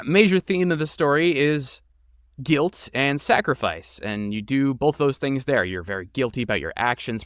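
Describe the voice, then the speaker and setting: A man who creates and voices games, answering interview questions in a thoughtful, relaxed way with many fillers. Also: medium pitch, clean tone, no room sound, studio quality. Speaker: game creator and voice actor. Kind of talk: podcast interview guest.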